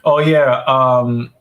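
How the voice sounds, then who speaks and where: A man's voice: medium pitch, fairly even intonation, very slow, narrow, tinny audio. Man, casual fight-night banter, loose and chatty, laughs between thoughts, restarts sentences. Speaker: watch party co-host.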